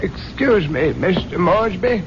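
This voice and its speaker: An actor playing a formal, polite and worried butler in a 1970s radio drama. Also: male voice, high-pitched, lively intonation, a little slow, over music. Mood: deferential. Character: deferential, worried household butler. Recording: muffled old radio recording, faint noise.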